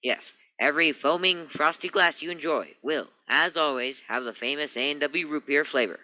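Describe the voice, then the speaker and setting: An upbeat vintage radio pitchman, acted by a man, sells in a folksy, reassuring 1940s style. His voice is very bright and forceful, with polished delivery. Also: moderate pace.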